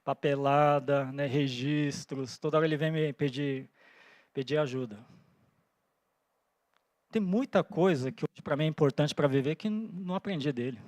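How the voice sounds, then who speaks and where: A pastor in his forties, born in Japan, preaches earnestly with a fairly high voice. He thinks aloud and checks in with his listeners. The sound is close and dry. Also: normal speed, a little mellow, dry recording. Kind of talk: sermon. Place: church.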